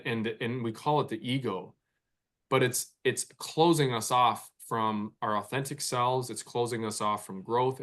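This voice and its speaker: A man's voice: mid-range voice, a bit bright. A man from Canada in his forties, a mindset coach speaking as a podcast guest in a reflective way, often repeating words as he thinks. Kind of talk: conversational podcast interview.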